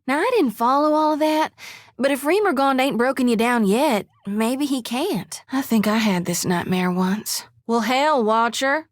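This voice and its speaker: Woman voicing a folksy young priestess, plainspoken, slightly high voice, dry close recording. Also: dry recording, lightly acted. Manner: folksy, plainspoken, lots of "ain't" and "well, hell". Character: devout young female priest, folksy and earnest. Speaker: party companion. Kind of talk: video game voice lines.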